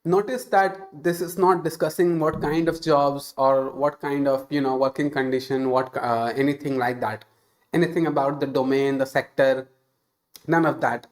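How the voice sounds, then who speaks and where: A man's voice: mid-range voice, fairly even intonation, normal speed. A young man commentating on online ads, mocking and incredulous, swearing freely. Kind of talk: sarcastic reaction commentary.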